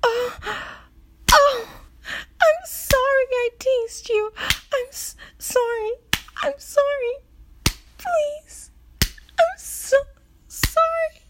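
A woman playing a proud, distressed adult demigoddess in an audio roleplay, with halting, breathy exclamations. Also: extremely high pitch, background noise, exaggerated voice. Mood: distressed, pleading.

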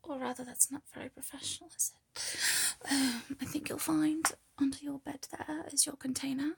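A British woman whispering intimately in a slow, breathy murmur, in an erotic audio style. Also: sits high, steady tone. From United Kingdom.

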